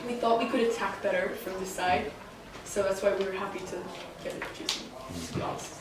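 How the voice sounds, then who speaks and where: A woman's voice: mid-range voice, fairly even intonation, a little fast. Casual teen girl in an echoey room. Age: teens. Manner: casual, filler-heavy talk. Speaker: student debater. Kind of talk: casual chat. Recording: echoey room, distant mic, noise.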